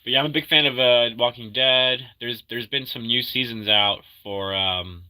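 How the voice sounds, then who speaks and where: Man in his thirties, feeling sick, loose rambling stream chat with a very bright, sharp tone. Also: medium pitch, fairly even intonation, poor audio. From Argentina. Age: 30s. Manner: rambling, halting, full of fillers and restarts; upbeat when greeting viewers. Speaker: livestreamer reading chat. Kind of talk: casual live-stream chat.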